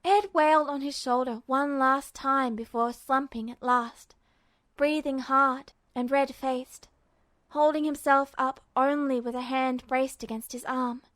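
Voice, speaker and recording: High-voiced woman narrating a story. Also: fairly even intonation, measured pace, fairly bright tone, no echo. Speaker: fan fiction audiobook reader. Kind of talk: expressive scripted story narration.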